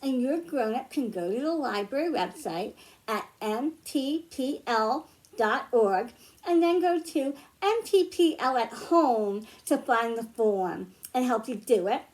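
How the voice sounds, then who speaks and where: High-pitched, slow-paced American storytime woman. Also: lively intonation, a little mellow. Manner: simple, child-directed phrasing with questions to listeners. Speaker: children's librarian hosting storytime. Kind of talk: picture-book read-aloud and simple teaching for young children.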